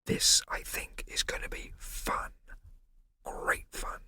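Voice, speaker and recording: A man's voice: medium pitch, very animated, brisk, a bit bright, slight background noise, voice pushed up. A man voicing a cocky teenage boy putting on a condescending grown-up tone. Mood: whispering. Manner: condescending, smooth. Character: cocky teenage boy imitating a haughty doctor. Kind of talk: audiobook character voice.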